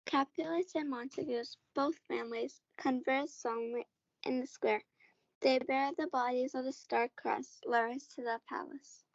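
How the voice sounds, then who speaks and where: Casting: female narrator, very high voice with fry, scripted school play reading, dry lo-fi audio. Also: steady tone, normal speed, no room sound. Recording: dull lo-fi audio.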